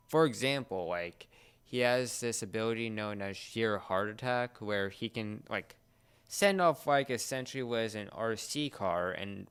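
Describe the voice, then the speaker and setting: A young man co-hosting a casual pop culture discussion, explaining things in a halting, searching way. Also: on the low side, a bit bright, smooth. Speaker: podcast co-host.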